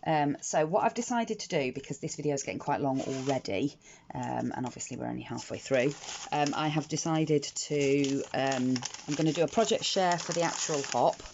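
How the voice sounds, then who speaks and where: A British woman with a croaky, gravelly morning voice talks through a home craft tutorial in a chatty, unhurried way, full of ums and restarts. The recording is a little dull and lo-fi, with a faint hiss underneath. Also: sits low, a little mellow. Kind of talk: casual step-by-step craft tutorial. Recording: slightly dull lo-fi audio with faint noise.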